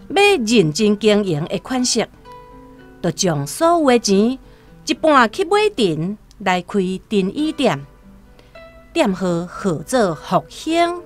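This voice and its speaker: A Taiwanese woman narrating a literary radio drama in a storytelling style, over soft music. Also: medium pitch, big pitch swings, slow.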